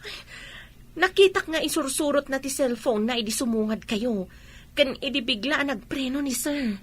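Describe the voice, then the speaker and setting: A woman voicing a gossipy young friend with a high voice. She passes on what she saw in a quick, conspiratorial way. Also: expressive, husky. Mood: gossiping.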